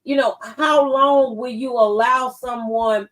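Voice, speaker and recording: Lady on a talk show chatting politics, voice kinda high, casual delivery. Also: fairly even intonation, slow.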